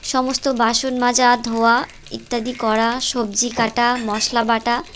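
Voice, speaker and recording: A woman's voice: on the high side, level tone, measured pace, fairly bright tone, slight background noise. A woman reading scripted storytelling narration for a moral cartoon. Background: music.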